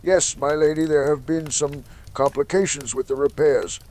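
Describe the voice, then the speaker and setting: A man's voice: a little high, steady tone, normal speed, a little mellow, slight background noise, natural voice. A man voicing an uneasy, deferential master of ships.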